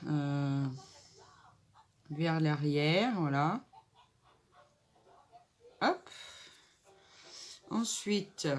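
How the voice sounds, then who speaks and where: A woman with a cold and a nasal voice, chatting casually as she makes a table decoration and thinks aloud. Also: fairly low pitch. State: stuffy nose from a cold. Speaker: craft vlogger. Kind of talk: casual crafting tutorial.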